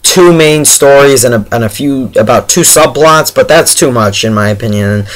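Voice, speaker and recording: A man with a medium-pitched voice that sounds hoarse and worn out talks casually to his viewers. He reviews television in a chatty, halting way, like someone exhausted after straining his voice. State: hoarse from shouting, very tired. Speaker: YouTube TV episode reviewer. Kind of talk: casual spoken review to camera.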